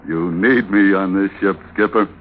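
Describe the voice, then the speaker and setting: A man's voice: low voice, normal speed, lightly acted. An actor, a man, playing a cool, needling sailor after a berth, slangy and unruffled in an old radio drama. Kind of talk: 1940s-50s American radio acting. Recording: muffled, noisy old radio recording.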